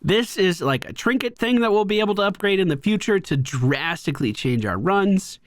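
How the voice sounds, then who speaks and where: A man hosting a gaming video with playful, excited, casual commentary, joking along with the game. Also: high voice, normal speed. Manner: playful, jokey, talks to the game.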